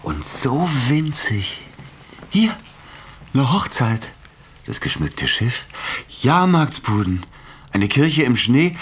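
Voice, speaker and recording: A man's voice: medium pitch, a bit bright. An actor playing an uneasy, easily impressed male accomplice in a German radio drama, with a hesitant manner.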